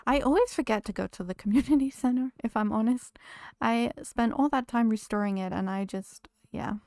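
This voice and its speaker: A woman's voice: fairly high pitch, brisk, darkish tone, dry recording, clean studio sound. A woman streaming a game, chatting casually with viewers while losing her voice. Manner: chatty, greets viewers, talks to game characters. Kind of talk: casual live-stream chat while playing.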